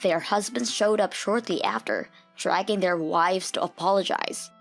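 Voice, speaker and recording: Low-pitched woman narrating a story. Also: very animated, moderate pace, soft background music. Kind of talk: scripted story narration for a manga dub.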